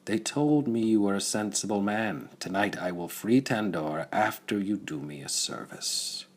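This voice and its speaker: Man voicing a deep, husky, menacing cult hierarch. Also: measured pace. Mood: cool, calculating. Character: cold, commanding cowled hierarch of a priestly scientist cult.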